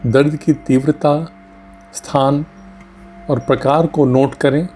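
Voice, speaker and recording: A man narrates a guided meditation at a very slow pace, leaving long pauses between short, gentle instructions. His mid-pitched voice is mellow and relaxed, and music plays behind him. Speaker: doctor leading a guided meditation. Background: music under the voice.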